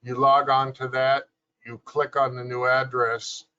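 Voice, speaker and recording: Slow, bright-voiced man explaining tech. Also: mid-range voice, level tone, clean tone. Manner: measured, explanatory, with many fillers. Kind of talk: detailed technical explanation on a video call. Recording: dull lo-fi video call audio.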